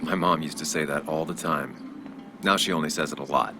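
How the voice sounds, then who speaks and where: Weary game hero, real low deadpan voice, dry wit, music under it. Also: male voice, fairly quick. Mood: dry, subdued. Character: weary, dry-witted journalist back in his hometown. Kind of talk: naturalistic video game dialogue.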